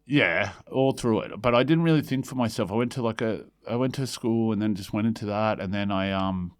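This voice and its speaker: A man's voice: fairly low pitch, fairly quick, clear, resonant tone. An Australian man chatting casually as a comedian guest, rambling along and often breaking off to restart his sentences. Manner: hesitant, rambling, self-interrupting. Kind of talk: casual rambling comic chat.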